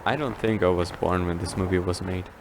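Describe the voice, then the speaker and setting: An actor playing a creepy henchman in an audio drama, stiff and deadpan. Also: deep voice, fairly quick, background noise. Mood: dry, grumbling.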